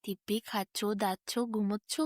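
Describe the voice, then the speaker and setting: A woman's voice: medium pitch, little pitch movement, moderate pace, no echo, studio quality, close to an everyday voice. A woman voicing an envious, overworked ox who complains plaintively about his lot in a children's storybook tale. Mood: plaintive envy.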